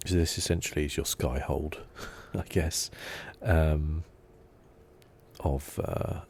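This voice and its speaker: Male ASMR gamer, very low gravelly voice, low-key and rambling, faint noise behind. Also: steady tone, slow pace. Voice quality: soft-spoken, low-key. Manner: rambling, thinking aloud. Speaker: video game streamer. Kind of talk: relaxed ASMR gameplay commentary.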